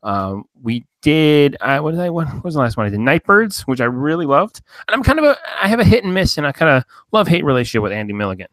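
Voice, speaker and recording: A man's voice: on the high side, sing-song, fast talker, hardly any pauses, a bit bright, dry recording. A man from New Jersey hosting a podcast, rambling in loose casual chat with lots of fillers and swearing. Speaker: podcast host.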